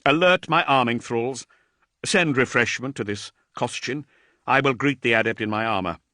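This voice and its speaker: A man's voice: mid-range voice, moderate pace, sharp tone, no room sound, clean studio sound, natural voice. A man voicing an ancient vampiric warrior lord, grave and commanding, in an audiobook.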